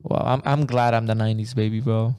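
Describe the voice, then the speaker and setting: A man's voice: mid-range voice, steady tone, fairly quick, a little mellow, clean tone, no room sound, studio quality. A young man from Afghanistan, co-hosting a podcast in loose, rambling casual chat full of slang like bro and man. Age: young adult. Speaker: podcast co-host.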